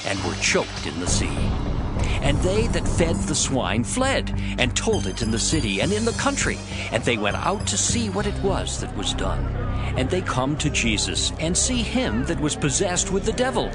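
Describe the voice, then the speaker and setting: A man narrating scripture as a dramatized story, in a dramatic storytelling manner. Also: mid-range voice. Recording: loud music behind the voice. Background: music.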